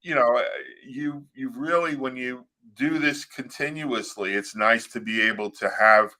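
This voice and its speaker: A man's voice: medium pitch, unhurried, pushed and bright. A man co-hosting an interview, chatting casually and joking, often restarting his sentences. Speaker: co-host.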